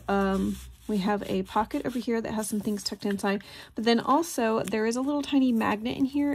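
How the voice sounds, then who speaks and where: A woman narrates a craft flip-through in an informal, chatty way. Her voice sits at a middle pitch and she sounds keen on the little details. There is noticeable noise behind her, with small clicks. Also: a little mellow. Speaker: crafter presenting handmade journals. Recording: clear background noise, handling clicks.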